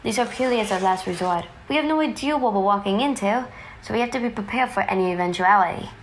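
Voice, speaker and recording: An actress playing a defiant, sharp-tongued woman agent in an audio drama, with a cutting, disdainful manner. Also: mid-range voice, quick pace, a little hiss or hum, natural voice. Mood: cool warning.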